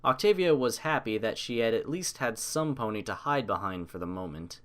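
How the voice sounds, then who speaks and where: A man's voice: on the low side, lively intonation, normal speed, fairly bright tone. A man narrating a scripted story as an audiobook reader.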